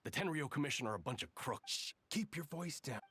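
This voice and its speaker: A man's voice: fairly high pitch, lively intonation, brisk, fairly bright tone, slightly rough, heavily acted. An actor voicing a nervous, gossiping male island soldier who speaks hesitantly.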